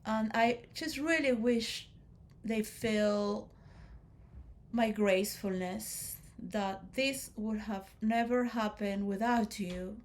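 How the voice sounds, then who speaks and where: A woman giving a rambling philosophical monologue to role players, shifting between soft musing and loud emotional outbursts. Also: mid-range voice, slow pace. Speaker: speaker addressing role players.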